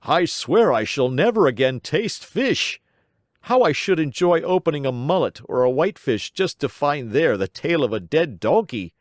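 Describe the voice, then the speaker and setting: A man voicing a gruff, stingy grown man in a storybook reading, speaking indignantly. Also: a little high, big pitch swings, moderate pace, dry recording, studio quality, pitched above the performer's own voice. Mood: horrified.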